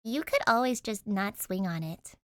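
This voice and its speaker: A woman voicing an eager, impulsive young girl in an audiobook story, casual and expressive. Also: medium pitch, normal speed, no room sound, clean studio sound, close to an everyday voice. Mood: coaxing, unconvinced.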